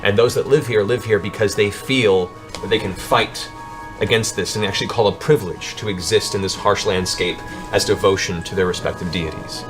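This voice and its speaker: Low-voiced man narrating fantasy over music. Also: steady tone, brisk. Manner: descriptive, dramatic storytelling. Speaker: game master. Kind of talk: improvised tabletop fantasy narration.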